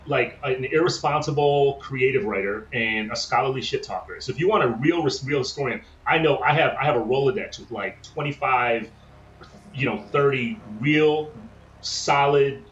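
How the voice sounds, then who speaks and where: A man's voice: mid-range voice, fairly bright tone, reverberant, lo-fi sound, slight background noise. A man speaking as a guest professor in a casual interview, blunt and profane with self-deprecating humor; his first language is Tagalog. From United States.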